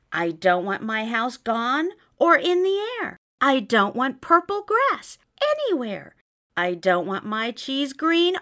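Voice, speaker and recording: A woman voicing a fussy, indignant male mouse in a read-aloud storybook, scolding and demanding in a high squeaky voice. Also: bright, forceful tone, full character voice. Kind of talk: storybook character voice.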